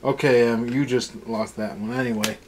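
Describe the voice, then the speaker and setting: A man's voice: mid-range voice, steady tone, moderate pace. A man giving a casual, unscripted episode review as a co-host, with a sarcastic, snarky way of talking. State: tired.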